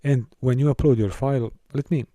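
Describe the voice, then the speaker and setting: Guy doing a screen tutorial, mellow voice, dry close sound, stops and restarts a bit. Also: mid-range voice, steady tone, dry recording. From Serbia. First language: Serbian. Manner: careful, halting delivery with small restarts and non-native phrasing. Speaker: course instructor. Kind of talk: screen-recorded software tutorial.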